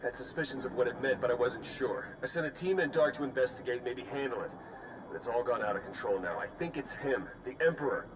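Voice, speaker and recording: An actor playing an earnest, harried young spy in a dramatic game cutscene. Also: male voice, fairly quick, lightly acted. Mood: urgent. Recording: noisy, with vehicle and outdoor rumble.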